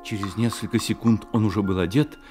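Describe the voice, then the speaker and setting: A man narrating a literary radio drama as an expressive, measured storyteller. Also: lowish voice, over loud music, close to an everyday voice. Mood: hushed suspense. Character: storyteller of a boy's secret adventure.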